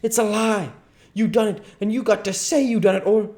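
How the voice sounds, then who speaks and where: A man's voice: fairly high pitch, animated, normal speed, dark tone, pitched above the performer's own voice, close to an everyday voice. A man voicing a domineering, scornful swindler who scolds in folksy rural speech, in a dramatised audiobook. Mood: furious.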